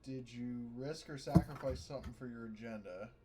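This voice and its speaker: A man's voice: medium pitch, normal speed, very noisy. A man chatting casually as a player in a group tabletop game.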